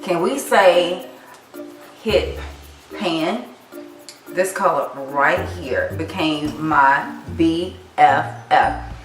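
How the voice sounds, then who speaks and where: A woman from the Carolinas talking through her favorite makeup products in a chatty, animated vlog. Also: deep voice, extremely slow, pauses often, far from the mic. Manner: chatty, animated, sassy asides. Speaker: makeup vlogger. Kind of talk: casual product review vlog. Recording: echoey room, voice off-mic, faint music.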